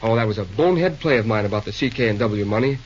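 An actor playing an earnest, awkward, plainspoken young railroad man in old-time radio drama, halting and sheepish, on an old recording. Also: fairly low pitch, expressive, muffled recording, slight background noise, lightly acted.

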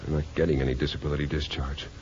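Charming army officer, deep voice, playful, 1950s radio drama, muffled recording with faint hiss. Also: male voice, flat delivery, rapid-fire, a little mellow. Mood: defensive. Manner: playful, casual.

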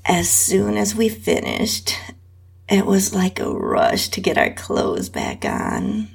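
A woman with a husky, breathy voice, reading a scripted first-person adult story slowly as its narrator. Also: mid-range voice, huge pitch swings. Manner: slow, drawn-out reading with whispery dips.

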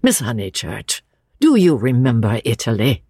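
A narrator voicing a frail, gentle, sorrowful old gentleman with a quavering, halting delivery, in a storybook reading. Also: mid-range voice, big pitch swings, vocal fry, breathy edge, no echo, clean studio sound, full character voice. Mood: gentle, pleading. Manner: slow, halting, sighing.

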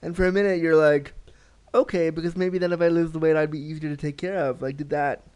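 A man's voice: a little high, fairly even intonation, fast talker, a little mellow, clean tone. A man interviewing a guest, enthusiastic and empathetic, sometimes acting out inner thoughts in quotes. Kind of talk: conversational interview.

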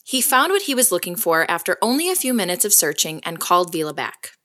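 A woman playing a reflective case historian, giving measured scripted documentary-style narration in an audio drama. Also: fairly low pitch, very animated, sharp tone. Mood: reflective, sympathetic. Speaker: narrator and host.

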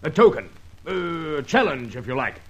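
A gruff, irritable police superintendent from a vintage radio play. His voice sits high and a little husky, with a lively, swooping delivery. He blusters in clipped, exasperated bursts. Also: normal speed. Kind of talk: 1940s radio acting.

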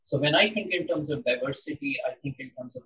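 A man in his sixties, originally from India, talks through ideas in a slow, considered way, pausing with ums as he thinks. His voice sits a little high for a man. The recording is dull and he sounds somewhat far from the mic. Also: sharp tone. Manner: measured, reflective, with frequent ums. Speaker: interviewee. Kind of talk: interview conversation.

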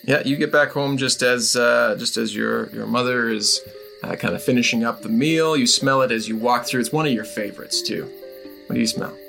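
Gravelly-voiced man running a tabletop game. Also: mid-range voice, bright, forceful tone, soft background music. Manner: loose, halting narration. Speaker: game master. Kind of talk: narrating a comedic tabletop game.

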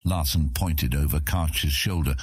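A man's voice: a bass voice, measured pace, slightly rough, no room sound, studio quality. A man reading scripted epic fantasy narration for an audiobook.

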